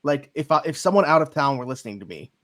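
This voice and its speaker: A man's voice: medium pitch, very animated, quick pace, a bit bright. A man with a Pittsburgh accent chatting casually as co-host of a sports podcast. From Pittsburgh area, United States.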